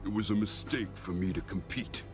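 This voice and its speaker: An actor playing a tormented, earnest man in a game cutscene, halting and emphatic in his anguish. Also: on the low side, over music, natural voice. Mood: anguished, resolute. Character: tormented, earnest man trapped in a driving contraption.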